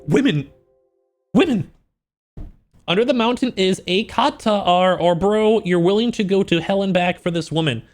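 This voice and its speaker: A man livestreaming in a casual, slangy, joking way, with an animated, incredulous manner as he reacts. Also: sits high, moderate pace, soft background music. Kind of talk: casual livestream reaction with book read-outs.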